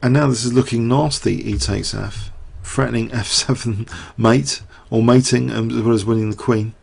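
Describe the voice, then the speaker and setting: A middle-aged man commentating live on a chess game, talking through the moves and quizzing his viewers, his voice getting croaky. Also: medium pitch, steady tone, moderate pace, darkish tone.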